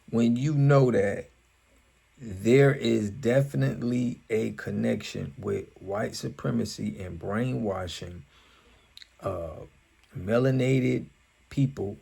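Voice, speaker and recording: An American man hosting a reaction video, commenting in a deliberate, halting way and challenging with rhetorical questions. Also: mid-range voice, creaky, a little mellow, breathy edge. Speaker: host of a reaction video channel.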